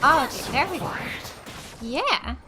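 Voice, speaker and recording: High-voiced woman, unhurried gaming commentary. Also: big pitch swings, noisy background. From Finland. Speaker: gamer, let's play host. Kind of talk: casual gameplay commentary. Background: game music.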